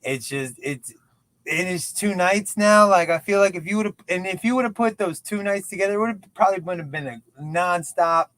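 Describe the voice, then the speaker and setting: A man's voice: high-pitched, moderate pace, a bit bright. A man in his late thirties chatting as a guest, joking and self-deprecating, stumbling over words. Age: 30s. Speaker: podcast guest. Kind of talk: casual chat.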